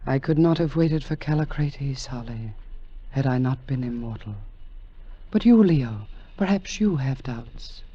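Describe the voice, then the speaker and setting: A grand immortal queen, slow solemn low woman's voice, old dull radio sound w/ music. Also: animated, husky, full character voice. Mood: solemn, proud. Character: immortal sorceress queen, majestic, commanding and tender. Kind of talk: 1940s radio drama acting.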